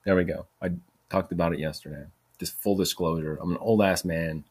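A man's voice: deep voice, steady tone, a little fast, darkish tone, husky. A man in his forties telling a rambling, casual story, chatty and self-mocking, with some swearing. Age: 40s. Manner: chatty, profane, self-mocking, drifts off topic. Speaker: podcast host.

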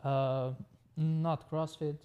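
A man with an Albanian accent in a casual interview, explaining himself haltingly and repeating words as he goes. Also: a little high, little pitch movement, mellow, clear, resonant tone, muffled recording. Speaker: podcast guest, tech business owner.

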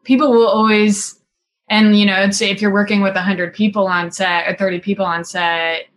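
A woman's voice: medium pitch, little pitch movement, normal speed. Chatty woman director from Singapore. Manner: chatty, full of "like" and "you know" fillers, laughs often. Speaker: film director and cinematographer guest. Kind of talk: casual interview chat.

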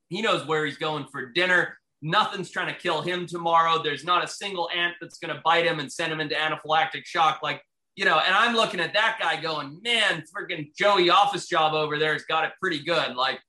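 A man from Zimbabwe, a wildlife biologist, talking as a guest in casual, energetic chat and swearing freely. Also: fairly high pitch. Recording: roomy echo.

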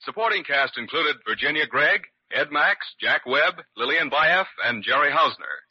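A man's voice: fairly high pitch, lively intonation, moderate pace, slightly rough. An American man announcing a 1940s radio drama in a formal, declamatory style. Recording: dull vintage radio recording.

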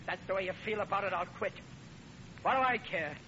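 Blustery, high-voiced hapless dad, old radio. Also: male voice, a little fast, sharp tone. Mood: blustering, pleading. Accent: American. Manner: blustery, plaintive. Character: excitable, hapless working-class father, pleading and blustering. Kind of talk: 1940s radio comedy acting. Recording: muffled, noisy old radio recording.

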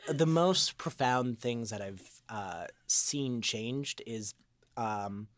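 A man's voice: mid-range voice, expressive, extremely slow, no echo. A man chatting casually as an interview guest, rambling and informal with a goofy streak. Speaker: voice actor and improv comedian, podcast guest.

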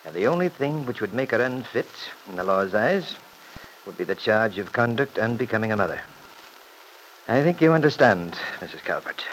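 An actor playing a shrewd, dryly sardonic attorney in an old radio serial, probing and deliberate. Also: male voice, on the low side, very animated. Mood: grave, measured. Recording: noisy old radio broadcast recording.

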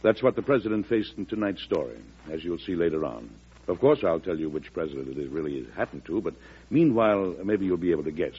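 An actor with an American accent playing a folksy, firm president in a 1940s radio drama, speaking in a conversational, deliberate way. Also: male voice, sits low, sing-song, darkish tone, slight background noise. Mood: genial, confiding. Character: folksy, firm American president telling his own story. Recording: muffled vintage radio recording.

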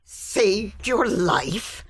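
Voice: mid-range voice, very animated, very slow, husky, exaggerated voice. An actor playing a bemused, scolding forest dweller with an incredulous manner, in a comedic fantasy audio drama.

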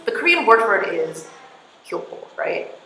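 A woman's voice: medium pitch, big pitch swings, moderate pace, bright, forceful tone, slight background noise. A woman interviewing a guest in a casual chat, filling her talk with likes and you knows. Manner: chatty, filler-heavy with like and you know. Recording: echoey hall with faint ambience.

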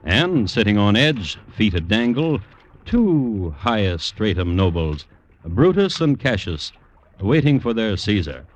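A man playing the wry narrator of a classic radio drama, telling the story in unhurried, literary phrases. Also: lowish voice, very animated, rough recording. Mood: wry scene-setting.

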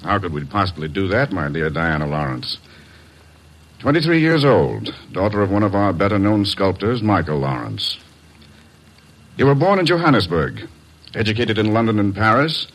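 An actor playing a smug, suave male psychiatrist in 1940s radio drama style, speaking with calm condescension. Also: lowish voice, normal speed.

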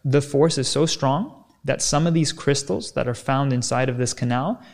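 A man speaking as a kinesiologist guest on a podcast, explaining in an energetic, teaching way and often checking in with "right?". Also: medium pitch, normal speed, vocal fry.

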